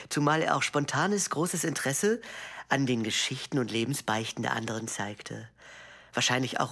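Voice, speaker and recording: An actor playing an earnest, careful therapist who gives a formal, hesitant clinical account in a mock-documentary interview. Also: male voice, medium pitch, a bit bright.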